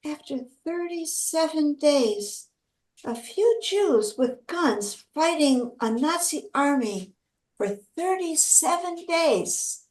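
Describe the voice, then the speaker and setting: Woman as dying resistance fighter, high voice, slow, quietly proud, echoey stage drama. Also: expressive. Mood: weak, proud.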